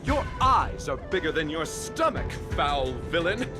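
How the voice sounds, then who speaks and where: A man's voice: on the high side, very animated, measured pace, voice pushed up. A man voicing a defiant hero in a game cutscene, delivering hammy, rising battle cries. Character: bold heroic fighter, theatrical and defiant. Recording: game dub over music.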